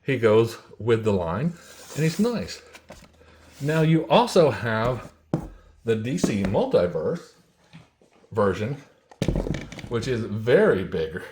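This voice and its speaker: A man giving a casual, unhurried product review, talking in short phrases with pauses and repeating himself. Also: on the low side, big pitch swings, background noise. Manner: unhurried, repetitive, pausing between short phrases. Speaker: toy reviewer, host.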